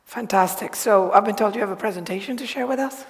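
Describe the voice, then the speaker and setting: A woman's voice: mid-range voice, fast talker. A woman moderating a conference session in an informal, conversational way, asking interested questions. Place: conference hall, on stage. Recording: echoey large hall sound.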